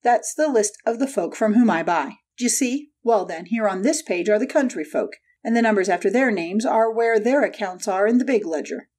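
A woman voicing a hot-tempered male poultry salesman, gruff and combative, in a dramatic audiobook. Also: medium pitch, animated, moderate pace, hardly any pauses, a little mellow, natural voice. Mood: smug, challenging. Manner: gruff, combative, snapping delivery.

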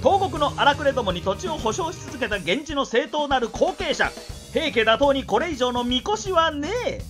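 A woman acting a brash, talkative samurai scout in a radio drama, reeling off war news in rough, theatrical storytelling patter. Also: sits high, lively intonation, moderate pace, music in the background. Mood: excited, boastful.

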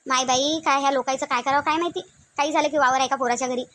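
A woman plays a middle-aged cartoon village wife with a sharp tongue and a Varhadi accent from Vidarbha. The voice is extremely high and the words come quickly. She nags and grumbles, piling up scornful rhetorical questions. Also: fairly even intonation, slight background noise. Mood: grumbling. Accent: Varhadi Marathi of Vidarbha. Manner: complaining, scolding, rhetorical questions. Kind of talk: comic cartoon dialogue.

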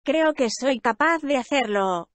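High-voiced woman reading lesson sentences. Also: expressive, dry recording. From Spain. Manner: slow, careful, evenly paced delivery. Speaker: language lesson speaker. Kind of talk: reading practice sentences aloud.

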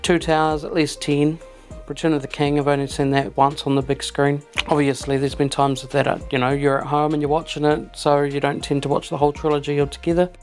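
A man's voice: on the high side, fairly quick. Man hosting a fan video, music under. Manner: relaxed, filler-heavy talk. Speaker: host. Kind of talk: casual chat to camera.

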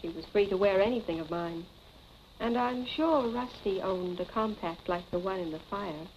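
Poised, firm young American wife played by an actress, vintage film melodrama, muffled hissy old recording. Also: female voice, medium pitch, normal speed, darkish tone, close to an everyday voice. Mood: composed. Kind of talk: 1940s film acting. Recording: dull, noisy 1940s film soundtrack.

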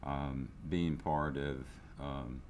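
A man's voice: extremely low pitch, fairly even intonation, drawn-out words, a little mellow, slight background noise. An older man, a business owner, speaking candidly in a seated interview, with frequent restarts and fillers. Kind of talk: interview testimonial. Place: indoor room, seated interview. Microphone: lapel.

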